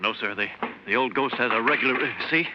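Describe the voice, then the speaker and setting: An actor playing a local man who calmly explains the haunting in a hushed, matter-of-fact way in a 1950s radio drama. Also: mid-range voice, fairly quick, lo-fi sound, natural voice. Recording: very hissy old radio broadcast.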